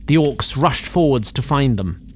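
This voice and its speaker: A man giving scripted narration for a fantasy audio drama in a measured, theatrical storytelling manner. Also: mid-range voice, animated, a little mellow, a little hiss or hum. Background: music.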